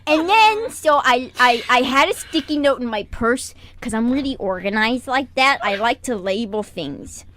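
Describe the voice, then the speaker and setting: Woman putting on a high-pitched, ditzy rambling mom. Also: animated, moderate pace, few pauses, a bit bright. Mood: flustered, chatty. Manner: rambling, self-justifying, giggly. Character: ditzy, talkative mother insisting she is organized. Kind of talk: improvised comic radio monologue. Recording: clear background noise, amateur radio show.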